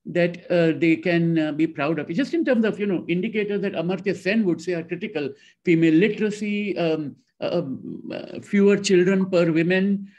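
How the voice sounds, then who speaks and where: A middle-aged man with a high voice answers as a panelist, thoughtful and halting, often circling back and restarting. He joins from a room lined with bookshelves over a webcam. The sound is dull and lo-fi. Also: darkish tone. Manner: reflective, hesitant with many restarts. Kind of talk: discussion answer. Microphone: video call webcam. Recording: lo-fi video call audio.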